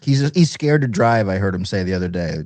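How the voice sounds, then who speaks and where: A man talking casually as a guest on a talk show, somewhat halting as he restarts his phrases. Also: on the low side, animated, a little mellow. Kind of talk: casual chat.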